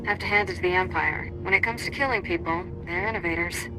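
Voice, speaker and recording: An actress playing a tough, sardonic sidekick in a video game with a dry, sarcastic delivery. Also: female voice, lowish voice, fast talker, music in the background, lightly acted, poor audio. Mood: wry. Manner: wry, sarcastic.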